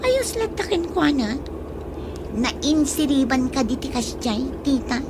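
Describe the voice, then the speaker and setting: A woman acts out a young woman in a radio drama, frank and high in pitch. Clear background noise with vehicle sounds sits behind her voice. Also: sing-song, measured pace. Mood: frank, admiring. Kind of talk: Ilocano radio drama acting. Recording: noisy track with ambient vehicle sounds.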